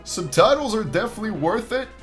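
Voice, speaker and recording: A man's voice: high-pitched, expressive, fast, fairly bright tone, faint music behind. A man hosting a reaction video with casual, informal commentary, swearing and repeating phrases for emphasis.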